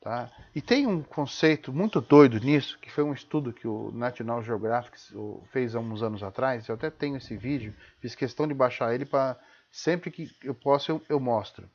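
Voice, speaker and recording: A man with a Brazilian accent and a medium-pitched voice speaks as the host of an informal live talk. He is didactic and emphatic, often posing rhetorical questions and checking in with short tags. The audio is dull and low-fidelity. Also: moderate pace, few pauses, a little mellow. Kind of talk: informal spoken lecture.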